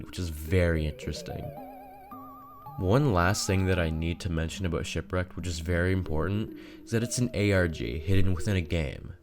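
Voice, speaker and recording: Low voiced guy doing a game review video essay, music in the background. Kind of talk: scripted video essay narration.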